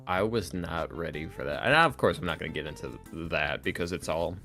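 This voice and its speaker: A man's voice: low-pitched, brisk, pushed and bright, soft background music. A man talking casually as a podcast guest, emphatic and rambling, with slangy phrasing and acted-out quotes. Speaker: podcast guest, ASMR roleplay creator. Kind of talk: casual, opinionated interview talk.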